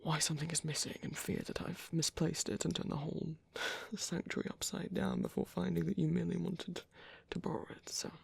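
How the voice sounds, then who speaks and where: A man voicing a gentle, caring vampire priest in a soft, hesitant ASMR roleplay, his breathy voice often dropping to a whisper. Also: a little high, level tone, brisk, hardly any pauses, no room sound, heavily acted. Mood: gently cautioning. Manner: hesitant, reassuring, tender.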